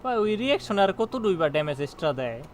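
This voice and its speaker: A man's voice: high-pitched, sing-song, rapid-fire, a little hiss or hum. A man chatting casually as he streams a game, informal and repetitive, mixing in English gaming words. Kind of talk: casual gameplay chat and commentary. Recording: game music behind the voice.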